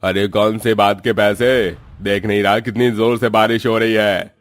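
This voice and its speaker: A man's voice: lowish voice, fairly even intonation, normal speed, soft background music, lightly acted. A man voicing an arrogant, hot-tempered rich employer in a cartoon story, scolding with contempt. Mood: irritated, dismissive.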